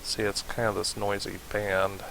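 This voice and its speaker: Male tutorial host, informal and unscripted, with a fry-edged low register and light background hiss. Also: completely flat delivery, normal speed. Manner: informal, thinking aloud while demonstrating. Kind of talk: casual software tutorial narration. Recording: hissy home recording.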